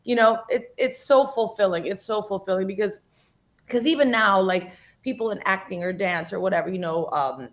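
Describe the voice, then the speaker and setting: Animated woman from the Philippines. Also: medium pitch, moderate pace. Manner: animated, storytelling with many "you know" fillers. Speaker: guest. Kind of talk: casual interview chat. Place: radio studio.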